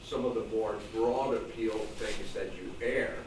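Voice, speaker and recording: Distant, echoey low-voiced man asking a question. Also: measured pace, darkish tone. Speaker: audience member asking a question. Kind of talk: informal question from the floor. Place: club meeting room, far from mic. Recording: distant echoey room with clear noise.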